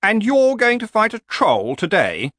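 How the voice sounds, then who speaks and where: Man voicing a fretful talking horse, pitched high. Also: wildly swooping pitch, unhurried, fairly bright tone, no room sound, clean studio sound, exaggerated voice. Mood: anxious. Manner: plaintive, reasoning, persuading. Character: talking horse, fretful, nagging, sensible companion.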